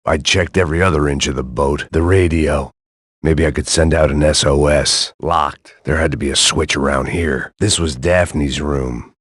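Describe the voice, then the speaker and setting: A man playing a jaded, middle-aged American action hero, narrating a noir video game in a gravelly, sardonic deadpan. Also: extremely low pitch, normal speed, dry recording, clean studio sound. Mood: weary, brooding. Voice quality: gravelly, husky. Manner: world-weary, sardonic, deadpan. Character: jaded hard-drinking middle-aged male action hero, cynical and bitter.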